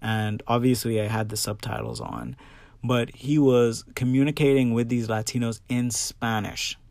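Expressive man hosting a casual podcast. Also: medium pitch, dry recording. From the Bahamas. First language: English. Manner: expressive, emphatic, checks in with listeners. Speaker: podcast host. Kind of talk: personal solo podcast talk, casual and conversational.

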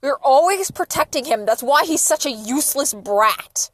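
Woman playing menacing angry dad, contemptuous shouting, story narration, higher-pitched voice, dry close sound. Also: measured pace, dry recording. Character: furious, menacing abusive father, a man with fire powers. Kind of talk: dramatic fan fiction reading.

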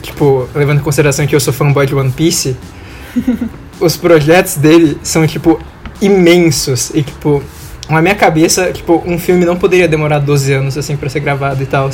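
Rambling young Brazilian man, fairly high voice. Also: fairly quick, darkish tone, a little hiss or hum. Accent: Brazilian Portuguese. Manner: rambling, slangy. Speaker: podcast panelist. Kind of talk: casual chat.

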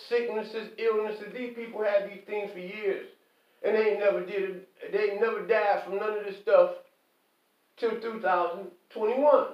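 A man delivering an impassioned, preachy monologue, emphatic and repetitive, often pausing to ask "okay?". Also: high voice. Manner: preachy, quotes scripture. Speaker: online commentator. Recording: echoey, distant, dull lo-fi.

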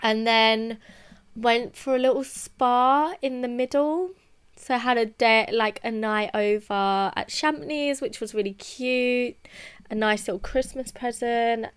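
A woman's voice: on the high side, unhurried, clean tone. A young woman, a podcast co-host, chatting casually and telling a story with lots of "like" fillers. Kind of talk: casual chat.

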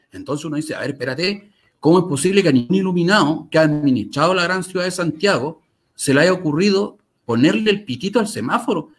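A man's voice: on the high side, husky. A man with a Chilean Spanish accent talking conversationally as an interview guest, articulate and emphatic, repeating phrases to drive points home. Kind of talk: conversational storytelling and commentary.

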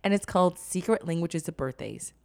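A woman's voice: on the low side, brisk, creaky, clear, resonant tone. A woman co-hosting a podcast in casual, slangy conversation, telling stories emphatically and acting out what people say. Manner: animated storytelling with acted-out quotes, emphatic slangy delivery. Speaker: podcast co-host.

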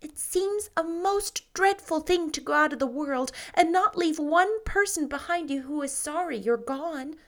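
A woman voicing an imaginative, dreamy young woman who speaks in a lively, romantic and gently teasing way. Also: high voice, unhurried, hardly any pauses, pitched above the performer's own voice.

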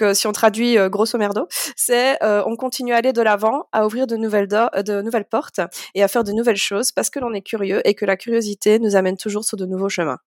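A woman's voice: on the high side, no echo, studio quality. A woman from France chatting casually on a podcast, hesitant with many fillers and frequent laughs. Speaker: guest.

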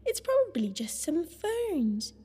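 A woman's voice: extremely high pitch, very animated, slow pace, mellow, exaggerated voice. A woman playing an airy, affectionate but dismissive female raccoon in an audio drama. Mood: breezy, dismissive.

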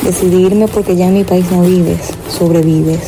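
A woman's voice: lowish voice, steady tone, normal speed, background noise. A woman from Venezuela with a Maracaibo accent, giving an emphatic personal testimony and repeating her phrases. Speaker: interviewee. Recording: voice over music bed.

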